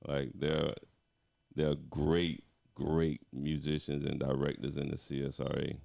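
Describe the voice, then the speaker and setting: A middle-aged man, a music director, talking as a guest in a casual interview, reflective and relaxed with frequent restarts. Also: rumbling low, measured pace, creaky.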